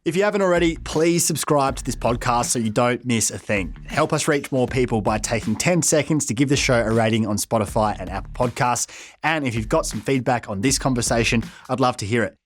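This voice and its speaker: A man with an Australian accent, a journalist hosting a podcast, reading a measured, earnest intro and asking interview questions. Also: mid-range voice, a little hiss or hum.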